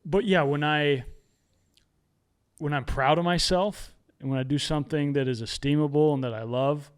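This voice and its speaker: Smooth-voiced man, candid and self-mocking. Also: fairly high pitch, moderate pace. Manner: candid, self-deprecating humor. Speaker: podcast guest, author and podcast host. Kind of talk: reflective casual conversation.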